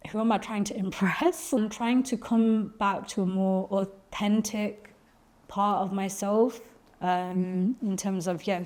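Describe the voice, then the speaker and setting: A woman's voice: mid-range voice, darkish tone. Reflective woman. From Birmingham, England. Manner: thoughtful, searching for words with frequent ums and restarts. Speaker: poet being interviewed. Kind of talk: reflective interview answers.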